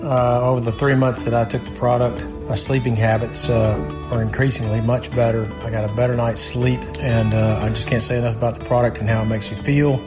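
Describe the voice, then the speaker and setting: A man delivers an unpolished, hesitant ad testimonial. His mid-pitched voice stays flat, with music playing behind it. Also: a little fast, few pauses. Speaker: ad testimonial speaker.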